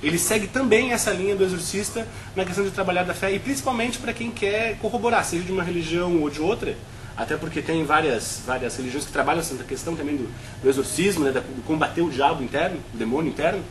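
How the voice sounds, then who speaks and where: A man's voice: a little high, fast, slight background noise. A Brazilian man on a film review panel, talking at length with frequent restarts and tag questions.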